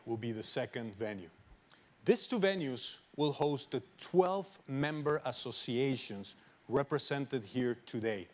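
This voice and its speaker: A man conducting an official tournament draw, presenting in a measured, formal way at a podium. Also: medium pitch.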